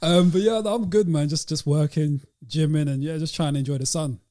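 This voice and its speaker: A man with a British accent talks in a relaxed, mellow voice. He co-hosts a podcast and keeps it casual and slangy. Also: fairly high pitch, fairly quick. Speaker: podcast co-host.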